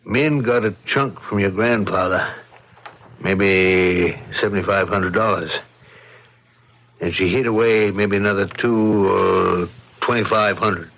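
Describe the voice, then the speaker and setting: A man's voice: low voice, takes it slowly, fairly bright tone, heavily acted. An American actor playing a curt, greedy, surly middle-aged father in a radio mystery drama. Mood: pressing, irritated. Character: gruff, greedy, impatient widowed father, a murder suspect. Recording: muffled old radio drama recording.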